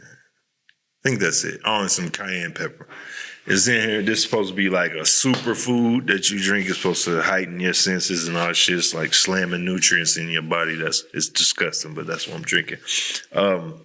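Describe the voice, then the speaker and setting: A man's voice: low-pitched, moderate pace, pushed and bright. A man hosting a podcast in a casual, candid chat, talking bluntly in slangy, profanity-laced language. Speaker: podcast host.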